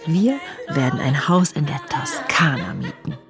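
A woman's voice: sits low, animated, a little slow. A woman playing a nineteen-year-old from a wealthy family, narrating a radio drama with a reflective, wry manner. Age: young adult. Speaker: narrator and main character. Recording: music underneath.

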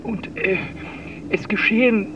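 A stammering, flustered caretaker on tape. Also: male voice, high voice, fairly even intonation, highs cut off. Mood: anxious, halting. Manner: stammering, halting, repeating himself. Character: flustered, timid male castle caretaker. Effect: answering machine recording. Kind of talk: children's radio play acting. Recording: noisy, distant answering machine tape.

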